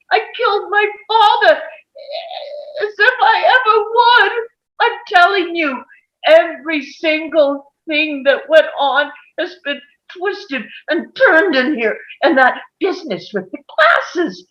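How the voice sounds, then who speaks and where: A woman playing a stubborn, furious male juror in a dramatic table read, ranting haltingly with anguish. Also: sits high, heavily acted. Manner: ranting, halting and repetitive, swinging to anguished shouts. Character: stubborn, furious man on a jury, breaking down. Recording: muffled, roomy home recording.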